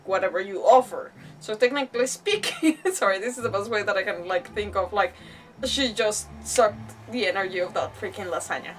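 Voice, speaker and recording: A woman's voice: mid-range voice, distant mic, poor audio. A woman live-streaming a game, commenting in an informal, reactive way and rambling through her explanations. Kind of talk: casual live let's-play commentary.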